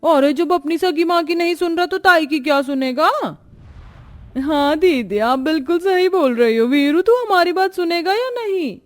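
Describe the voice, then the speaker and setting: A woman's voice: very high-pitched, animated, normal speed, voice pushed up. A woman voicing nagging older mothers and aunts in a story, pleading and scolding a grown son. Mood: exasperated nagging.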